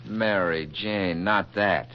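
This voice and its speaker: An actor playing a wry, sceptical American reporter with a dry, sardonic delivery in a 1940s radio comedy. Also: male voice, sits low, steady tone, takes it slowly, noisy background. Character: wry, sceptical, wisecracking male newspaper reporter sidekick. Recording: dull vintage radio recording.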